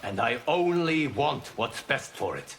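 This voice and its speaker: An actor playing a calm, solemn, merciful guardian god in a video game, speaking in a measured, formal, earnest way. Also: male voice, mid-range voice, soft background music. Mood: firm.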